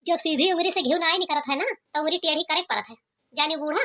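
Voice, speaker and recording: Woman acting cartoon village headman, Awadhi accent, bossy, scheming, high bright voice, commanding. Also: fast. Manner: self-important, commanding. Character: bossy, scheming village headman, older father of two daughters.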